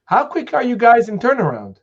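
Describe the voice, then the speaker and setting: A man's voice: very high voice, huge pitch swings, dull audio. A man hosting a live interview, asking questions eagerly and stammering a little as he starts his sentences. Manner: quick, enthusiastic, stammers on starts. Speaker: host, interviewer.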